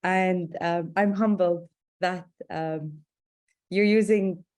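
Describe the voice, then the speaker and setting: A woman giving an online talk as an advocate, speaking with a stutter and many pauses. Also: on the low side, fairly even intonation, a little mellow. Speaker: guest speaker, advocate for people who stutter. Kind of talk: webinar talk.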